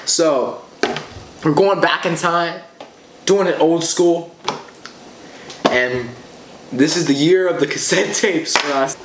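A man's voice: fairly high pitch, slow pace, pauses often. A teenage boy chatting casually to viewers, enthusiastic and rambling, often repeating himself, recorded indoors a short distance from the camera. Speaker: vlogger. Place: small room indoors at a table. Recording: roomy echo, clear background noise.

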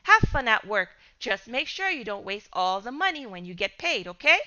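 A woman's voice: fairly high pitch, sing-song, moderate pace, sharp tone, dull audio, full character voice. A woman voicing a cheerful middle-aged father in a storybook reading. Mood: friendly.

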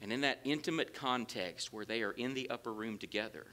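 A man's voice: mid-range voice, a little fast. A middle-aged man with a Southern accent, preaching a sermon in a church with folksy asides. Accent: Southern American. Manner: animated, gestures widely while preaching; folksy asides between scripture quotes. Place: large church sanctuary with empty pews. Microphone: lectern mic, roams away at times.

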